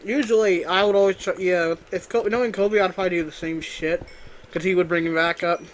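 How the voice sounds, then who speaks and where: A man's voice: sits high, fairly even intonation, a little fast, slight background noise. A man chatting casually with friends while playing a video game. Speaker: gamer in a group let's play. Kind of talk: casual chat during gameplay.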